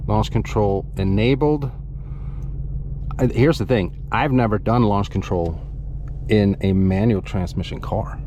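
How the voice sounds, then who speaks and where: A man's voice: lowish voice, unhurried, dull audio. A man from Sweden giving enthusiastic, chatty commentary while driving a sports car, with loose filler words. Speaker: car vlogger. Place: inside a moving car. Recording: loud engine and car noise. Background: engine and exhaust noise.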